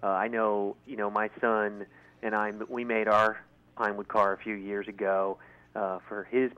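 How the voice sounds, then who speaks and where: A man's voice: lowish voice, little pitch movement, moderate pace, clear, resonant tone, lo-fi sound. A man who is a guest on a radio show, talking about his work with enthusiasm and many fillers. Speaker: guest, event organizer. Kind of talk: casual radio interview. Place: radio studio.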